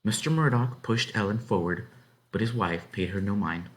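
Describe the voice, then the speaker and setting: A man reading a children's story aloud as an expressive narrator. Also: on the low side, normal speed.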